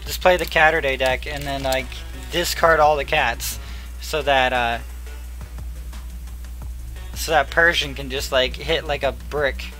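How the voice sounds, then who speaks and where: A young man chatting casually while opening card packs, murmuring card names and getting excited now and then, his voice going hoarse. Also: medium pitch, measured pace, long pauses, soft background music. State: losing his voice. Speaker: card pack opener. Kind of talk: casual pack-opening commentary. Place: small room at a table. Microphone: headset.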